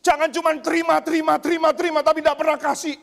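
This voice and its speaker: High-voiced male preacher in echoing hall. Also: flat delivery, very fast, creaky. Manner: emphatic, repeats phrases for effect; swings between raised exclamations and hushed asides. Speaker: pastor. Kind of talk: church sermon. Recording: long hall reverb.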